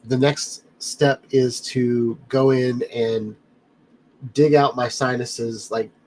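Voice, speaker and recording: A man's voice: mid-range voice, fairly even intonation, takes it slowly, darkish tone, a little hiss or hum, rough recording. Congested man chatting on a livestream. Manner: rambling, friendly, addresses viewers by name. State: congested, blocked-up nose. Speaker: livestream host reading chat. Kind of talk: casual live chat. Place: home studio.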